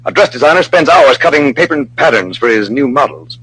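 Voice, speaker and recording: A confident sleuth from an old radio mystery. His voice is a little rough and swings expressively as he lays out each deduction methodically, pausing for dramatic effect on an old broadcast recording. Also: medium pitch, big pitch swings, fairly bright tone, low-quality recording. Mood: confident, explaining. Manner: methodical, dramatic, builds to reveals. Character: sharp, self-assured male magician-detective explaining his deductions.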